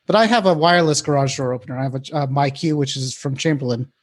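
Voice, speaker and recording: A man co-hosting a podcast in casual tech chat, telling stories in a colloquial, halting way. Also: mid-range voice. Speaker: podcast co-host.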